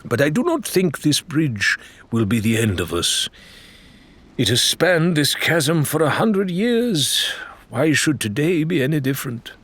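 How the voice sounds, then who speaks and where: A man's voice: on the high side, sing-song, measured pace, fairly bright tone, breathy edge, pitched above the performer's own voice, full character voice. A learned male pirate, played by an audiobook reader, speaks formally and with care. The voice sits raised and moves widely, grave and explanatory in the manner of an adventure tale. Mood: wry, assured.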